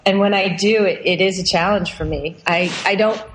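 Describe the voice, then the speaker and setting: A woman's voice: medium pitch, steady tone, normal speed, slight background noise. Chatty woman in a reverberant space. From Pennsylvania, US. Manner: chatty, restarts and trails off often, laughs easily. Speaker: podcast guest, workshop founder. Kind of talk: casual interview chat. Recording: long reverb on voice.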